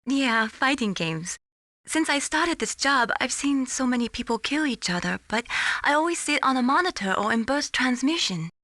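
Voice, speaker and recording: An actress playing an earnest, gently teasing young female radar technician in a video game. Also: sits high, animated, moderate pace, no room sound. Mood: thoughtful. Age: young adult. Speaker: mission support operator. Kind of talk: scripted video game voice acting.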